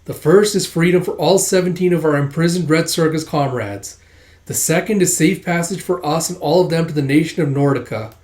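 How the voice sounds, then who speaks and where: A man's voice: on the high side, brisk, darkish tone, reverberant, voice pushed up, natural voice. A man voicing a menacing hijacker ringleader from a manga, barking curt threats and demands. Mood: demanding. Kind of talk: manga read-aloud.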